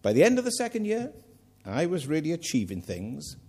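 A man's voice: fairly high pitch, huge pitch swings, brisk, mellow, slightly rough. Older welsh guy giving a sermon, earnest, pokes fun at himself sometimes. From Wales. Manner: earnest, self-mocking asides. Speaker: preacher.

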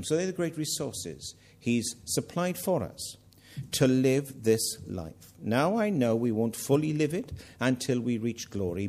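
A man's voice: medium pitch, dark tone. An older man with a Welsh accent preaching a sermon, earnest with self-mocking humour. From Wales.

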